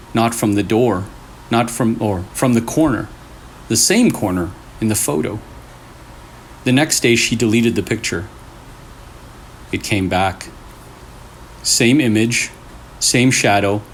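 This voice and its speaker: A man's voice: lowish voice, a little hiss or hum. A man hosting and reading scary stories aloud in short, suspenseful phrases, with casual asides. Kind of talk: storytelling with casual reactions between stories.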